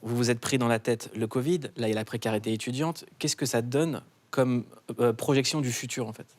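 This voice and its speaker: A man's voice: mid-range voice, fairly even intonation, a little fast, darkish tone. A male TV talk show host who asks questions and teases his guests. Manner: joking, teasing the guests. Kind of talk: TV studio interview.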